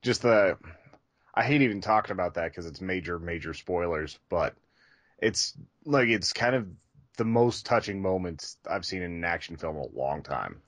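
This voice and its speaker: A man's voice: low-pitched, lively intonation, moderate pace, fairly bright tone, no room sound. A man co-hosting a film review podcast, giving his opinions in an easygoing, casual conversational way. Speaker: podcast co-host reviewing films. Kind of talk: casual movie review chat.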